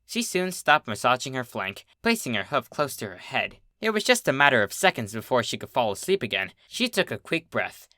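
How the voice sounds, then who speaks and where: A narrator reading a scripted story aloud in an expressive storytelling style. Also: medium pitch, brisk, hardly any pauses, no echo, studio quality. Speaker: fan fiction narrator.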